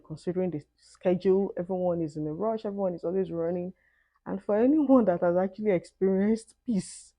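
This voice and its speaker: A woman's voice: fairly low pitch, warm tone, no room sound. A young Nigerian woman with a Nigerian accent, casually reading out questions and answering them in a vlog, often adding "I mean". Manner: thoughtful, conversational, with "I mean" asides. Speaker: vlogger.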